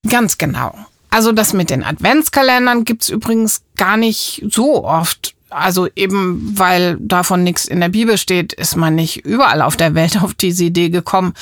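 A woman in a children's audio play conversation, explaining things simply with thoughtful pauses. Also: lowish voice, lively intonation, dry recording, studio quality. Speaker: presenter talking with a child character.

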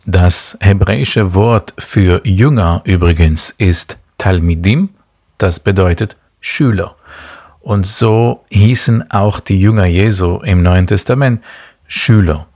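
Male guest from Israel, low gravelly voice, slow and patient explainer. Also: a little mellow. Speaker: interview guest, tour guide. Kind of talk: explaining to children in an interview.

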